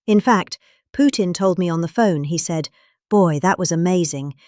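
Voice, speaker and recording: A middle-aged British woman reading out a rambling political speech theatrically, with odd pauses and exaggerated emphasis. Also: lowish voice, darkish tone, no room sound, studio quality.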